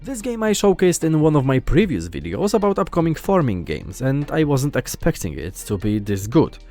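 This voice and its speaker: A man's voice: on the high side, wildly swooping pitch, normal speed, mellow, faint music behind. A young man from Poland with a Polish accent, earnestly narrating a scripted gaming video as host.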